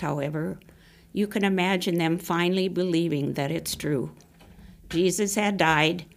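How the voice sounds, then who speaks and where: A woman's voice: fairly low pitch, gravelly. A woman reading scripture and a written devotional reflection aloud. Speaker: devotional reader.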